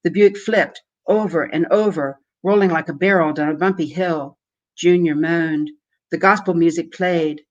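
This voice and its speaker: A man giving a measured, dramatic live reading of a first-person horror story. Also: fairly high pitch, highs cut off. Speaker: author or performer reading.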